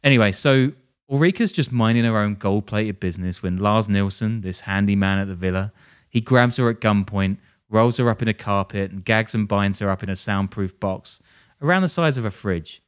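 A man's voice: on the low side, moderate pace, clear, resonant tone. A man hosting a podcast, telling a crime story casually with jokey, sardonic asides. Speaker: podcast host.

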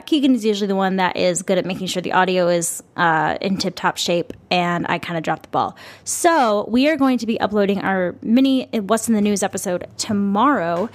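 A young adult woman hosting a podcast, telling personal stories in casual, animated, sweary chat. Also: medium pitch, no echo. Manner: animated, giggly, sweary, acts out dialogue. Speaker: podcast host.

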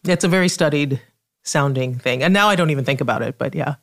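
A middle-aged woman from Trinidad whose speech carries a careful, studied American accent. She sounds polished and measured, and she laughs easily as she chats with a friend on a podcast. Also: low voice. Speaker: guest author. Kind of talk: casual podcast conversation.

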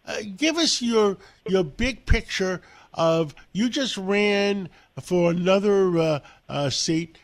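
A man in his seventies hosting an interview, speaking haltingly with many fillers. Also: high voice, expressive. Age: 70s. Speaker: host. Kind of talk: radio interview.

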